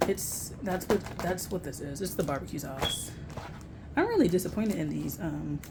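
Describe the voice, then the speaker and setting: Low-voiced young American woman, casual vlogging. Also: animated, normal speed, very noisy. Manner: candid, informal, slangy. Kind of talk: casual grocery haul chat to camera.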